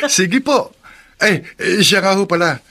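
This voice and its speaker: A man's voice: high-pitched, very animated, slow, pushed and bright, exaggerated voice. A man playing a cheerful, polite young Filipino family friend in a radio drama. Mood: cheerful, respectful.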